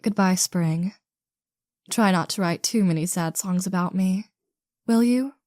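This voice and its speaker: A woman's voice: on the low side, a little slow, a little mellow, no room sound, clean studio sound, lightly acted. A woman playing a haughty, sardonic famous actress with an imperious drawl in a scripted roleplay. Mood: smug. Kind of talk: scripted roleplay audio drama.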